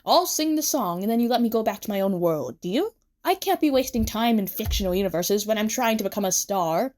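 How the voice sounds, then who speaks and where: Brief: storybook would-be star, young man acted by a woman, theatrical, emphatic, swooping pitch, easily flustered. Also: expressive, a little fast, darkish tone, lightly acted. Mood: indignant. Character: dramatic, vain young male aspiring star, easily flustered.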